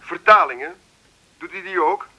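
A man with a Netherlands Dutch accent playing a brisk, businesslike artist making a call in a radio drama. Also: high-pitched, bright, forceful tone, slightly rough, lo-fi sound, full character voice. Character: businesslike male artist phoning to hire a translator.

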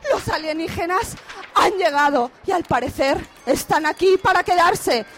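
A very high-pitched female radio reporter , played by an actress, exclaims in horror as she describes what she sees. Her delivery is halting, breathless and melodramatic, in the manner of a parody radio play news flash. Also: a bit bright, a little hiss or hum. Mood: panicked. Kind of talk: radio drama parody of a live news bulletin.

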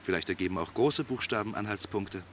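An actor playing a courteous, dryly teasing police investigator in a German radio play, questioning insistently with irony. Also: male voice, lowish voice, a little fast, fairly bright tone, a little hiss or hum, lightly acted. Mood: businesslike, probing. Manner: insistent, drily ironic questioning.

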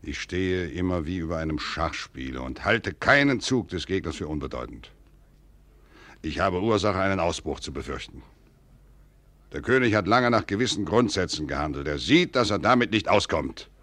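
An actor playing a grave, shrewd, wary prince in a classical German radio drama, with deliberate, weighty declamation. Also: male voice, low-pitched, lively intonation, fairly bright tone, no room sound. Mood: brooding, confiding.

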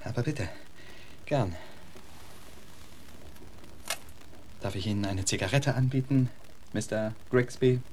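An actor playing a witty, urbane gentleman poet in a radio drama, elaborately polite, wry and eloquent. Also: fairly low pitch, normal speed, slight background noise, lightly acted. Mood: wry, unflappable.

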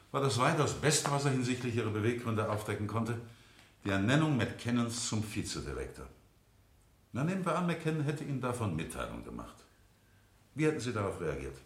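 Man acting measured defence lawyer, echoey courtroom. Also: mid-range voice, a little hiss or hum, natural voice. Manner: formal, rhetorical, pointed questioning. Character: male defence lawyer, insistent, measured and probing. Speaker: actor in a radio play. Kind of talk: 1970 German courtroom radio drama acting. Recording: echoey courtroom-like room, 1970 radio production.

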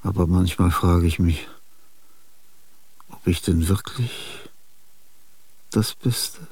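Ein Schauspieler spricht in einem Hörspiel die grüblerische, müde Innenstimme eines älteren Künstlers, ruhig und gemessen. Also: male voice, sits low, slow, darkish tone, slightly rough, no echo, full character voice. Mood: brooding. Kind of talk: radio drama acting.

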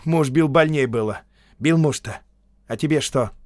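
A fierce village woman, voiced by a male narrator, pitched clearly above a man's usual register. She scolds curtly in rough country speech, a storybook figure in a dry recording. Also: moderate pace, a little mellow, no room sound, close to an everyday voice. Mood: defiant. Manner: curt, scolding rustic speech. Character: tough, sharp-tongued young peasant woman, curt and defiant.

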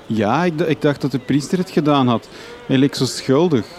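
A man's voice: medium pitch, expressive, moderate pace, darkish tone, lightly acted. A man playing an earnest, bewildered young journalist with a Flemish accent, thinking aloud in a radio comedy drama. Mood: defensive. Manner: naive, puzzled, thinking aloud. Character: earnest, bewildered young journalist back in his home village.

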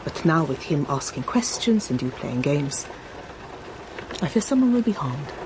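An actress in a radio drama playing a protective, watchful family retainer who speaks in a guarded, solemn way. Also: female voice, low-pitched, sing-song, normal speed, background noise. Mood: foreboding.

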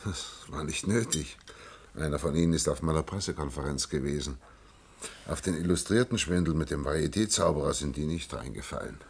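Very deep, husky, sardonic inventor. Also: male voice, brisk, heavily acted. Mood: weary, laconic. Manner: laconic, ironic, understated. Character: weary, sardonic male inventor, resigned and wry. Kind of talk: German radio play acting.

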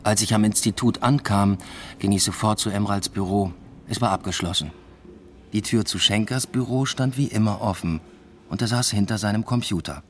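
A man playing an introspective, uneasy academic who narrates his own story in a measured, subdued radio drama style. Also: fairly low pitch, slight background noise, natural voice. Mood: brooding, reflective. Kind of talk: literary first-person radio drama narration.

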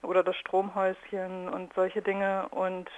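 A woman's voice: fairly low pitch, monotone, measured pace, dull audio. A woman in conversational interview talk, explaining her own work as a sound artist.

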